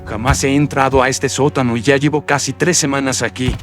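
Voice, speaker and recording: A man's voice: medium pitch, fairly even intonation, a little fast, pitched above the performer's own voice, natural voice. A man voicing a frightened but brave young man in a horror radio drama, talking to himself melodramatically. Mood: anxious, resolute. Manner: melodramatic, exclamatory self-talk. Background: loud atmospheric music.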